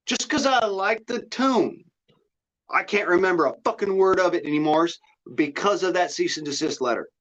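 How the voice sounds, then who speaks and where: A man's voice: high voice, lively intonation, moderate pace, fairly bright tone. The sort of blunt, folksy American guy holding forth on a late livestream, muffled through a cheap setup. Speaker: livestream host. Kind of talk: rambling casual monologue. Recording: dull, lo-fi audio.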